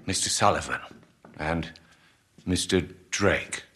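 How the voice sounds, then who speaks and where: An actor with an English accent playing a suave, coolly menacing dealer in a video game, formal, measured and polite. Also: male voice, low-pitched, sharp tone, full character voice. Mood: polite, guarded. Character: suave, coolly menacing well-dressed male dealer in shady trades. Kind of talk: video game cutscene acting.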